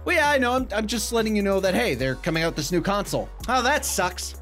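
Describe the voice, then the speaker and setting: Animated man with a lively high voice. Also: fast, soft background music. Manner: animated, gesturing a lot, swings between excited and low asides. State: slightly stuffy from a cold. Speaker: gaming YouTuber reading viewer comments. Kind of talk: casual vlog chat. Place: small room lined with acoustic foam. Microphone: desk mic, webcam framing at head and shoulders.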